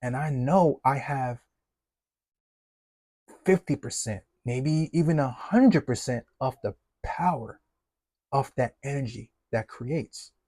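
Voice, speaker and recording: A man with a Jamaican accent, giving a casual motivational talk as a fatherhood coach in a relaxed, conversational way. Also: mid-range voice, slow, long pauses, dry recording, studio quality. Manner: relaxed, conversational, many fillers, laughs easily.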